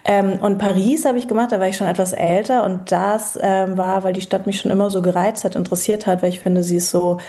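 A woman in her thirties from Berlin, a guest talking in a relaxed, reflective way with many pauses and fillers. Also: mid-range voice. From Berlin, Germany. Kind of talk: casual interview chat.

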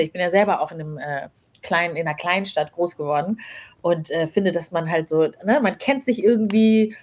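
A woman's voice: lowish voice, darkish tone, husky. A woman in her thirties telling personal stories in an informal, reflective, chatty way as an interview guest. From born in Rwanda, grew up from age ten in small-town Germany. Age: 30s. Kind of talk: casual conversational storytelling.

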